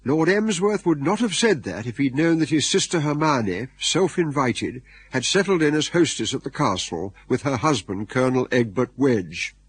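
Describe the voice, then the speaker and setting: A man's voice: medium pitch, expressive, normal speed, few pauses, husky, no echo. A man with an English accent narrating a radio drama in a wry, droll storytelling manner.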